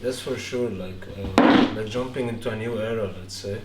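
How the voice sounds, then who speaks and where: A man's voice: on the low side, fairly even intonation, normal speed. A young man with an Egyptian accent chatting casually as an interview guest. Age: young adult. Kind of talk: casual chat. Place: living room with big windows. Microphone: handheld microphone. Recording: echoey, distant, some room noise.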